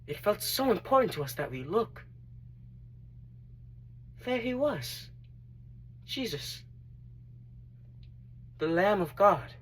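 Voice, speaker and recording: A man performing a dramatic monologue as a repentant tax collector turned devoted disciple, earnest and reflective, with deliberate emphatic swells. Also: sits high, big pitch swings, slightly rough, pitched above the performer's own voice, heavily acted, poor audio. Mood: grieving. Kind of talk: dramatic monologue, first-person testimony.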